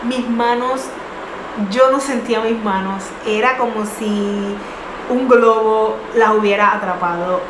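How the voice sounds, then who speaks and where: A woman's voice: fairly high pitch, fairly even intonation, slow pace. A young woman in her twenties earnestly telling a personal story, with many fillers and restarts. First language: not English. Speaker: vlogger. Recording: echoey room with noise.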